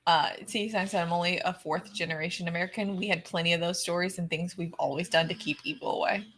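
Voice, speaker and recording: A woman's voice: on the low side, fairly even intonation, fast, a bit bright, slight background noise. A woman co-hosting a watch party, casually reading out viewer comments in a slangy, easily amused way. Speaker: co-host of a movie watch party.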